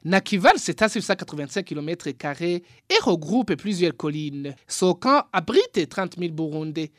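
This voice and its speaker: A man presenting a weekly radio magazine, reading his script in a measured, formal way. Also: on the high side, very animated, dry recording, studio quality. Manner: measured, formal read delivery.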